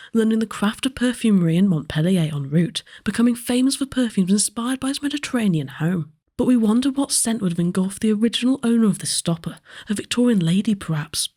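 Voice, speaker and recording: A woman's voice: medium pitch, lively intonation, brisk, hardly any pauses, dark tone. A woman reading scripted narration as a history presenter.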